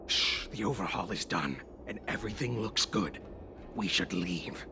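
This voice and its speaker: An actor playing a cautious, controlled conspirator with quiet authority in a video game. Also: male voice, low-pitched, animated, moderate pace, gravelly, background noise. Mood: cautious, stern. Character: composed, cautious male conspirator posing as a worker. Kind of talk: dramatic game dialogue.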